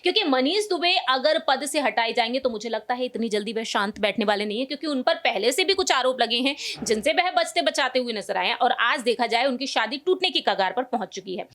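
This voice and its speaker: A woman's voice: fairly high pitch, expressive, brisk, hardly any pauses, fairly bright tone. A woman giving news commentary as a co-presenter, analysing at length in a wordy, circling way.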